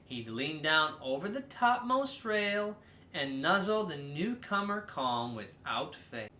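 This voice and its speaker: A person reading a children's story aloud as host, in a theatrical, drawn-out way with emphatic stresses. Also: mid-range voice, big pitch swings, sharp tone, reverberant, a little hiss or hum.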